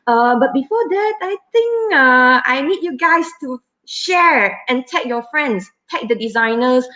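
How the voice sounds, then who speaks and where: A woman in her thirties with a Malaysian English accent hosts a live stream and talks to her viewers. Her voice sits a little high, and she chats in a halting way, full of fillers and restarts. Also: a bit bright. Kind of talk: casual live stream chat.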